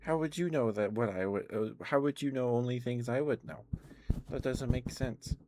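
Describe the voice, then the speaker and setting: A man chatting casually to viewers on an ASMR livestream, rambling with hesitant pauses. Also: mid-range voice, noisy background.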